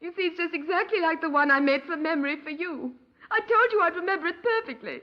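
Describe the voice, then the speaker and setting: A woman's voice: extremely high pitch, expressive, fast talker, highs cut off. An actress playing a distraught, talkative middle-class British woman grieving her younger sister, in effusive 1950s radio drama style on an old recording. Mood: excited. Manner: effusive, rambling, melodramatic.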